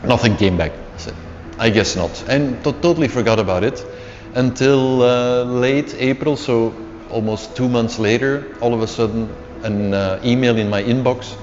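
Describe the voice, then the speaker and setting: A middle-aged man whose first language is Dutch, telling his story in a casual interview, unhurried and repeating words as he searches for them. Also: mid-range voice, a little mellow. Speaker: interview guest, author. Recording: dull lo-fi sound with long reverb and faint music.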